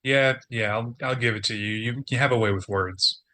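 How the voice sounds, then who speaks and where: An actor playing a dejected, sardonic man down on his luck in a naturalistic script read, deadpan and halting. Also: mid-range voice, lightly acted. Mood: softened, grateful.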